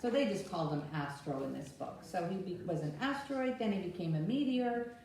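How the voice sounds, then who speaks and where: A woman's voice: lowish voice, expressive, a little fast, creaky, dark tone. A woman leading a children's story time, explaining things simply and giving instructions directly to young children. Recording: echoey room, distant mic, faint noise.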